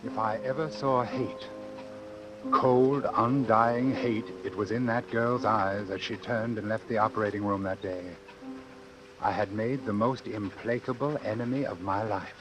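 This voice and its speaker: An actor playing a coldly calculating, articulate doctor with formal clinical diction in a vintage radio suspense drama. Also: male voice, on the low side, steady tone, a little slow, music in the background, close to an everyday voice, poor audio. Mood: coolly reflective.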